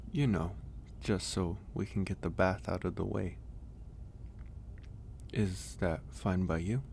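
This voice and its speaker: A man voicing a gentle, teasing werewolf in an intimate, soft-spoken ASMR roleplay, with a gravelly, unhurried delivery. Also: deep voice, slight background noise, heavily acted. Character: gentle, teasing male werewolf caring for a guest.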